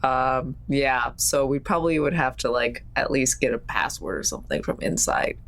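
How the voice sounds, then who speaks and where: Woman playing a tabletop game, deep-ish voice, kinda unsure, just chatting. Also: animated, normal speed, a bit bright. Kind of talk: casual chat.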